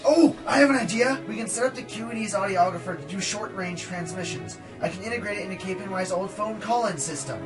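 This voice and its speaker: Fast, high-voiced techie pony, played by a man. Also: far from the mic, over music, lightly acted. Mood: keen, technical. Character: enthusiastic, precise technical pony engineer. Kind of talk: amateur radio play acting.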